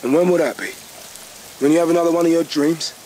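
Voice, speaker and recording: A man's voice: a little high, steady tone, fast, a little mellow, a little hiss or hum, lightly acted, poor audio. A man acting a blunt, sardonic male rabbit fighter in a radio drama. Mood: sceptical, irritated.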